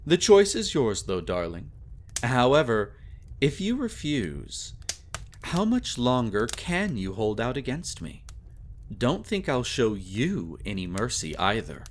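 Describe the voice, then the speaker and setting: A man playing a sadistic, possessive vampire lord in a dramatic roleplay monologue, theatrical and taunting, with silky condescension and drawn-out phrasing. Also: medium pitch, wildly swooping pitch, slight background noise. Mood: taunting, threatening.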